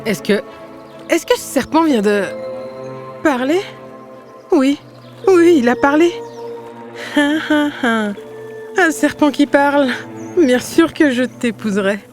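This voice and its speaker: A woman's voice: high voice, lively intonation, slow pace, long pauses, soft background music. A woman voicing a brave, dreamy young maiden in a fairy-tale cartoon, speaking with earnest wonder. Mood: amazed.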